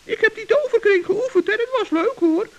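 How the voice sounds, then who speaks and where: A woman's voice: very high voice, brisk, muffled recording. A woman voicing a cheerful, brave male forest gnome in an old children's radio play, chatty and earnest. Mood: chirpy.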